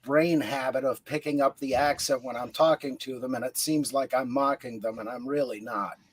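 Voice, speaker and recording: A man's voice: medium pitch, steady tone, normal speed, slightly rough. A man telling stories in an easygoing way in a casual podcast chat. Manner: easygoing storyteller.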